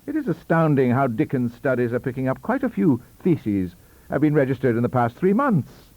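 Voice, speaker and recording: A man's voice: medium pitch, sing-song, moderate pace, no room sound, dull audio, voice pushed up, natural voice. A man putting on the voice of a sardonic young male English lecturer, mocking and jaunty, in a storybook reading.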